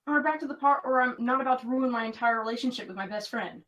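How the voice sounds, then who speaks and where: A woman's voice: fairly high pitch, quick pace, fairly bright tone, recorded from a distance, lightly acted, low-quality recording. A woman playing an earnest, conflicted young American woman in an audio drama, confiding in natural dialogue. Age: young adult.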